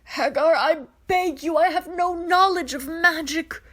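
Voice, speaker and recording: Woman voicing a hoarse, frightened male alien commander. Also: extremely high pitch, a little slow, fairly bright tone, pitched above the performer's own voice. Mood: panicked, pleading. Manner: pleading, stammering, strained. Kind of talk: dramatized fan fiction reading.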